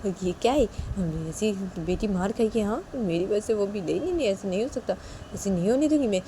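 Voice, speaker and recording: A woman's voice: fairly low pitch, fairly even intonation, normal speed, mellow, a little hiss or hum, close to an everyday voice. A woman voicing a timid young father who pleads and stammers anxiously. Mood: panicky.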